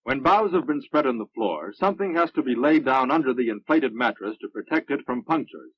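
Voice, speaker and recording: An American man reading scripted narration for a military instructional film in a measured, instructive manner. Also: medium pitch, animated, husky. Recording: muffled, dry vintage film soundtrack.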